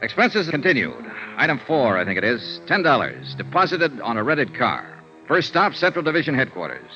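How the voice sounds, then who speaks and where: An American actor playing a brisk, wry insurance investigator in clipped, vintage radio-drama style. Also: male voice, medium pitch, wildly swooping pitch, pushed and bright. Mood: dry narration. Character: brisk, assured male insurance investigator, wry and hard-boiled. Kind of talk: 1950s radio acting, first-person detective narration and dialogue. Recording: dull vintage radio recording, music behind.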